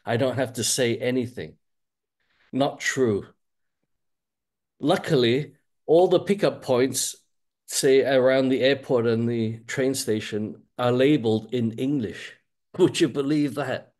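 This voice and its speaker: A man's voice: mid-range voice, slow, a little mellow. A man speaking as a guest on a video call, telling an easygoing, wry anecdote. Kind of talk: casual anecdote.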